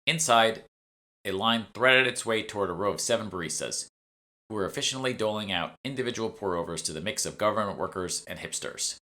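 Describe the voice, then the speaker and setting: Bright, low-voiced man narrating a novel. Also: brisk. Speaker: author reading own audiobook. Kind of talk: scripted first-person audiobook narration.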